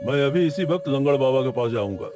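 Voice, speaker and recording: A man voicing an earnest, troubled villager who asks questions and promises help in an animated story. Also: medium pitch, a little fast, music in the background, close to an everyday voice. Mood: determined. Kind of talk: Hindi animated moral story acting.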